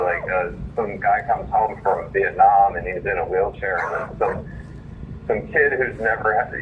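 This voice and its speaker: A man's voice: low voice, fairly bright tone. A man calling in to give his earnest, indignant opinion. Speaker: caller reading own essay. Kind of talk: reading a written opinion piece aloud, then informal remarks. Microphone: phone line. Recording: muffled phone call, distant, faint noise.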